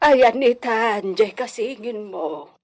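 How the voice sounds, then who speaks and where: A woman's voice: a little high, lively intonation, slow. A woman with an Ilocano accent playing a fretful, lamenting mother in a radio drama. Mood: worried lamenting. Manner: lamenting, exclamatory. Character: fretful mother of feuding grown children, hacienda household.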